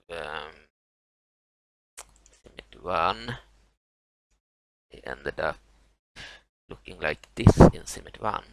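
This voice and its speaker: Low, gravelly Swedish man. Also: fairly bright tone, poor audio. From Sweden. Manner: halting, many fillers and restarts. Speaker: tutorial maker. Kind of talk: screen-recorded software walkthrough.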